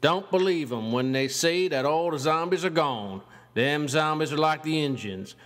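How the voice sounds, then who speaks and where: An actor playing a brash, boastful cowboy uncle and zombie hunter in an audio horror story, with a folksy, coarse American Southern drawl. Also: male voice, a little high, normal speed, a bit bright, natural voice. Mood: warning. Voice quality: drawling country speech. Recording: long reverb, music underneath. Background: guitar music.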